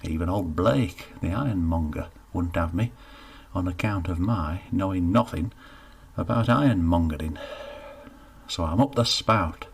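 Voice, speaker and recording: A man's voice: sits low, a little slow, vocal fry, slightly rough, full character voice. A man voicing a scruffy, sheepish jobless man of about fifty, who mumbles and stammers. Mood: glum. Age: 50s.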